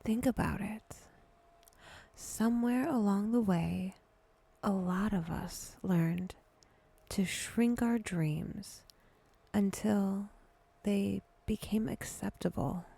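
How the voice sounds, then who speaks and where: A woman guiding a meditation in a hushed voice that drifts toward a whisper, speaking deliberately in short phrases with long pauses. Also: medium pitch, gravelly, breathy edge. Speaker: guided meditation host. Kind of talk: slow, breathy guided meditation narration.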